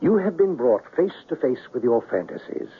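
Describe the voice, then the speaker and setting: A commanding alien exile speaks in grand, measured phrases with a fatherly touch. His mid-pitched voice rises and falls dramatically. It comes through a narrow, faintly noisy vintage radio drama recording. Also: very animated, husky. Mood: solemn, commanding. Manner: theatrical, measured, declamatory. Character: worldly exiled alien scoundrel, commanding and gently paternal. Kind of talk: 1970s radio drama acting. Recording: muffled, noisy old radio broadcast.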